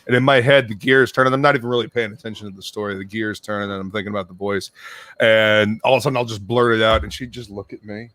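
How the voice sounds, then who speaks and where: A man's voice: fairly low pitch, fairly even intonation, quick pace, a bit bright. Rambling man chatting on a stream. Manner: rambling, profane, greets chat viewers. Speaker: stream host. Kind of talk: casual chat.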